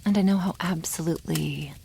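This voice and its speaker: A woman voicing a proud, teasing dragon girl in an ASMR roleplay, speaking softly and often near a whisper, with wry condescension toward humans. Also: lowish voice, a little fast, vocal fry, slight background noise, low-quality recording. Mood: wry, musing. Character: proud, teasing young female dragon, protective elder sister. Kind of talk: ASMR roleplay, addressing the listener.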